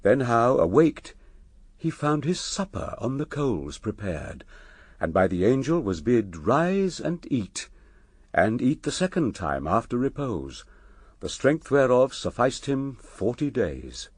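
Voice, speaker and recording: A man narrating epic verse drama in a stately, measured, expressive manner. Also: medium pitch, slightly rough, no echo, clean studio sound.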